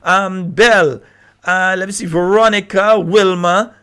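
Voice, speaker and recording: A man's voice: high-pitched, fairly even intonation, measured pace, bright, forceful tone, clean tone. A man with a Grenadian accent hosting a live radio call-in show, informally greeting listeners by name in a voice that is giving out. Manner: informal, calling out names. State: hoarse, voice giving out. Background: music playing behind the voice.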